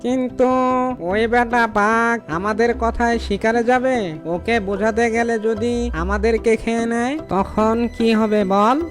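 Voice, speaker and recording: A woman's voice: on the high side, fairly even intonation, measured pace, soft background music, natural voice. A woman voicing a greedy but timid thieving fox in a cartoon fable, wheedling and fretful. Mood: worried.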